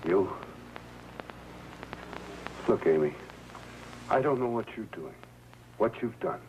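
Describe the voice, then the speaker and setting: An actor playing an earnest employee and lovesick secret lover in a 1950s crime drama, speaking in a halting way. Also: male voice, fairly low pitch, expressive, a little mellow, highs cut off. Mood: earnest pleading. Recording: noisy old 1950s TV drama soundtrack.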